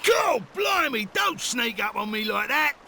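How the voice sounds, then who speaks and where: Bright, blustering British sidekick. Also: male voice, very high voice, big pitch swings, a little slow, soft background music, exaggerated voice. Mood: startled. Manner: blustering, colloquial. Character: rough, excitable, jumpy sidekick. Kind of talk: video game voice acting.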